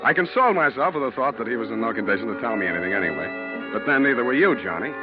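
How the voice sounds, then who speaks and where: A man plays a hard-boiled American private eye, wry and deadpan, tossing off wisecracks in mid-pitched tones. It is 1940s radio drama, muffled with age, with music clearly behind. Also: very fast, close to an everyday voice. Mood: wry, narrating. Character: wry, street-wise hard-boiled male private detective. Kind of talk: 1940s radio acting, narration and dialogue.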